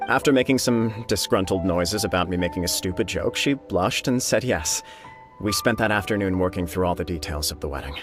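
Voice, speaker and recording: An actor playing an earnest, lovestruck young officer in a game, talking on at length in a sincere, rambling way. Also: male voice, deep voice, expressive, brisk, music in the background. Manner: earnest, warm-hearted, rambling. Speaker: game character.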